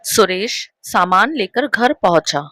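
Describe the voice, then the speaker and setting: A woman's voice: mid-range voice, animated, unhurried. A woman reading scripted narration for a moral storybook tale. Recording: rain, thunder and vehicle noise behind.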